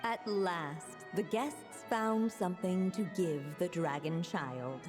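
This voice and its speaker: A woman playing a composed, whimsical storybook narrator in a video game, with measured theatrical delivery. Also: on the low side, music in the background.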